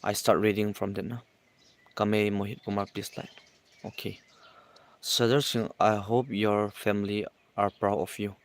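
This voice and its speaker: A man hosting a casual livestream, reading viewer comments aloud and answering in halting English full of restarts. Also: fairly low pitch, flat delivery. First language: not English.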